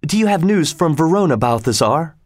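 A man's voice: on the high side, big pitch swings, brisk, a little mellow, dry recording, studio quality. A man reading aloud, voicing an impulsive young lover who talks with urgency. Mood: hopeful. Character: young male lover, passionate and rash.

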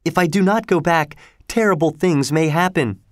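A man's voice: on the high side, normal speed, no echo, studio quality, voice pushed up, close to an everyday voice. A man voicing a frightened, earnest young woman opera singer in a dramatic storybook reading. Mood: urgent.

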